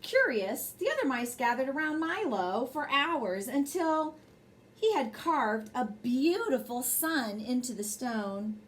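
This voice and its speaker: Animated woman reading a bedtime story. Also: sits high, takes it slowly. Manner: expressive, addresses young listeners in asides. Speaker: storybook reader and host. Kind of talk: bedtime story read aloud with brief asides.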